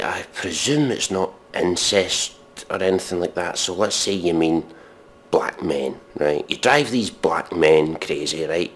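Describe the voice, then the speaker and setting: A man with a low-pitched voice delivers comic commentary. He reads in a mock-dramatic way, deadpan and sardonic. His manner is exasperated, with plenty of swearing. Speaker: comedic commentator.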